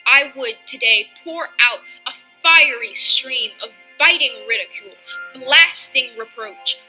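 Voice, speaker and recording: Bright-voiced woman reciting solemn oratory. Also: high-pitched, measured pace, faint music behind, rough recording. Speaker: reader of a historic speech.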